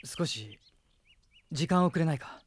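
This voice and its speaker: Noble young rebel leader, radio drama, sincere and determined, slightly high register. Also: male voice, animated, unhurried, breathy edge, no room sound. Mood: troubled, pensive. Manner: sincere, resolute, courteous. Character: earnest young noble prince leading a rebel army. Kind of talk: Japanese radio drama acting.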